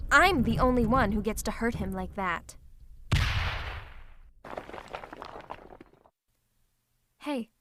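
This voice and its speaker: A woman's voice: a little high, a little hiss or hum. A woman voicing a theatrical, possessive female supervillain who is secretly a tender lover, in a scripted ASMR roleplay with a dramatic, haughty, teasing manner. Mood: furious, alarmed. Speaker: ASMR voice performer.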